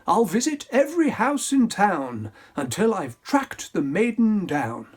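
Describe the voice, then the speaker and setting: A woman's voice: medium pitch, big pitch swings, unhurried, darkish tone, breathy edge, pitched above the performer's own voice. A woman voicing a pompous, bloodthirsty young prince who blusters and barks orders. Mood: blustering. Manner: blustering, haughty.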